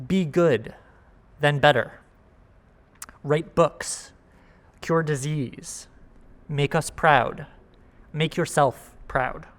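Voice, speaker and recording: A man reading a poem aloud for a worship service, measured, pausing between short phrases. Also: a little high, fairly even intonation, fairly bright tone. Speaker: guest reader of a poem.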